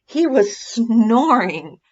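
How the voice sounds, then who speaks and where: A woman reading a children's story aloud in expressive scripted narration. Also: on the high side, very slow, breathy edge, highs cut off. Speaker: storybook reader.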